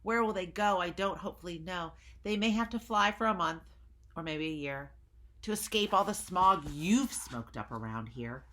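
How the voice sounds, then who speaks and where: A woman voicing a small, scolding male forest creature, loud and indignant as she reads a storybook aloud. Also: on the low side, animated, moderate pace.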